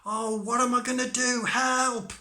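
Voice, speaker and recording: Man putting on a high-pitched tiny plucky storybook boy. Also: a little slow. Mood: panicked. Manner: excitable, pleading. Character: tiny plucky boy, cheeky and quick-talking to save himself.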